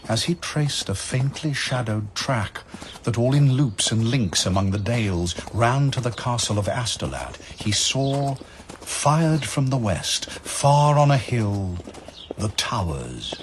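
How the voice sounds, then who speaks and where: A low-voiced man narrates scripted verse drama, solemn and theatrical. His delivery is slow with wide swings of pitch, over faint ambience. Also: lively intonation, noisy background.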